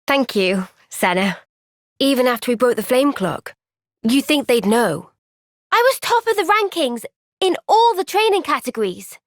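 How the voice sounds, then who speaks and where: A woman voicing an earnest, kind young woman soldier in a video game, speaking gently and sincerely in a thoughtful, resolute way. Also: high-pitched, sing-song, moderate pace, no echo, studio quality. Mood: serious, thoughtful. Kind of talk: video game cutscene voice acting.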